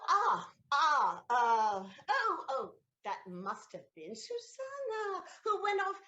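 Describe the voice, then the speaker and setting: A woman's voice: high-pitched, wildly swooping pitch, slow pace, pushed and bright, muffled recording, exaggerated voice. A woman playing a noble countess in an audio play, tender at times and stammering when caught. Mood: flustered. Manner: flustered, stammering. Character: flustered noble countess, anxious to hide secrets. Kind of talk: stage audio play acting.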